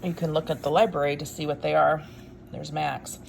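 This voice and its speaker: Woman storyteller, low register with creaky edges, expressive picture-book reading, offhand wry commentary. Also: brisk, slight background noise, rough recording. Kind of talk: read-aloud storytime with casual asides.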